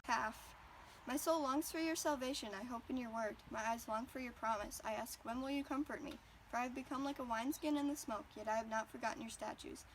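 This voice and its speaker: Woman reading scripture, higher pitch. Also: brisk, slight background noise, poor audio. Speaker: reader. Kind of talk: reciting scripture aloud.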